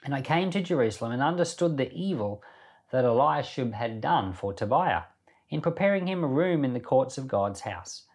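A man's voice: mid-range voice, lively intonation, moderate pace, darkish tone, husky. A man hosting a Bible podcast, reading scripture expressively and with emphasis, then commenting on it informally. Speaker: Bible podcast host and reader.

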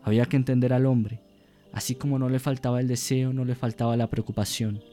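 A man narrating a scripted radio drama in a solemn, theatrical way with measured phrasing. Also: medium pitch, fairly even intonation, warm tone, soft background music.

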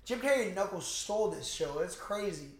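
A young man co-hosting a podcast, recapping a movie in casual, slangy, excitable talk with plenty of swearing. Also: sits high, a little fast. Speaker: podcast host. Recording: roomy, echoing room sound.

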